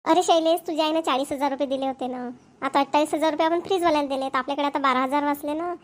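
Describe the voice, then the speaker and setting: A woman's voice: high-pitched, fairly even intonation, rapid-fire, lightly acted. A woman voicing an eager young wife in a cartoon, coaxing and pleading with her husband. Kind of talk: cartoon voice acting.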